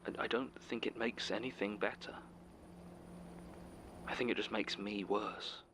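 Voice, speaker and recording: Actor as conflicted, burdened man: low voice, faltering audio drama delivery, clear noise around him. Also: steady tone, a little slow, a bit bright. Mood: remorseful. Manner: hesitant, stammering. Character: troubled, conflicted man burdened by dark power. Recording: noisy distant clip with ambience.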